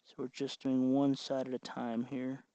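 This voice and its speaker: A dry, slightly dull recording of a man with a mid-pitched voice. He hosts a hands-on tutorial in an informal style. He explains things step by step, as if to viewers at home. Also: monotone, moderate pace, dark tone, clear, resonant tone, dry recording. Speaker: tutorial host. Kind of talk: casual hands-on electronics tutorial.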